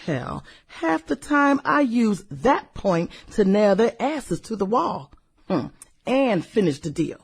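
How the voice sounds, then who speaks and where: A woman playing a brash, flirty, fun-loving businesswoman in a satirical radio drama, with sassy, slangy delivery and drawn-out interjections. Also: medium pitch, very animated, gravelly, breathy edge, exaggerated voice. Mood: defiant, brash. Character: brash, flirty, fun-loving high-powered businesswoman in her late thirties.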